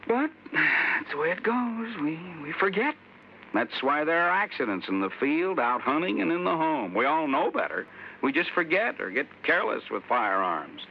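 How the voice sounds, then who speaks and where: A sheepish sergeant husband in a 1950s radio sketch. He is folksy, placating and earnest, with lively rises and falls. The old recording is dull and slightly noisy. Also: fairly high pitch, normal speed, creaky.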